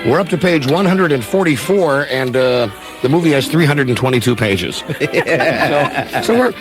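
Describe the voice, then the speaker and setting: Animated male radio host. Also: medium pitch, moderate pace, music in the background, rough recording. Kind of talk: joking, improvised radio chat.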